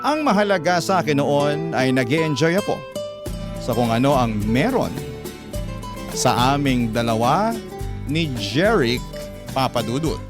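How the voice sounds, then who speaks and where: A man's voice: medium pitch, animated, measured pace, long pauses, music in the background. A man with a Filipino accent narrating a scripted first-person story as a radio host in classic storytelling style.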